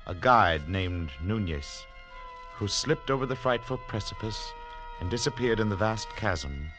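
Troubled mountaineer, intense storyteller, lowish pitch, lively swoops, 1940s radio drama. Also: male voice, measured pace, music in the background. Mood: haunted, intense. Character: troubled male mining engineer and ex-climber, haunted by memory. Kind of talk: 1940s radio drama acting, first-person narration.

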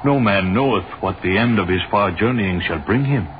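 A muffled, slightly noisy 1930s radio recording carries an actor as a weary American soldier. His low voice has an American accent and a plain, patient, resigned way of talking. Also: normal speed, close to an everyday voice. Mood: weary, resolute. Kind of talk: 1930s radio drama acting.

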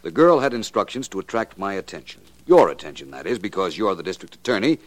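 Deep-voiced, suave radio detective. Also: male voice, sing-song, fast. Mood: explaining. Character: suave, self-assured male private detective. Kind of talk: 1940s radio detective drama acting.

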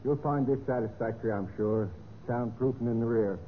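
An actor playing a polite, efficient hotel desk clerk in a 1950s radio drama, formal and courteous. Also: male voice, medium pitch, fairly quick, lightly acted. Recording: muffled, noisy old radio broadcast.

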